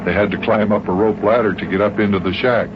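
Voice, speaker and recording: A man playing a calm, easygoing, reassuring grown-up pal in a children's radio serial. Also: on the low side, quick pace, lightly acted. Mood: friendly, amused. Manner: relaxed, reassuring. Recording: noisy, muffled old radio recording.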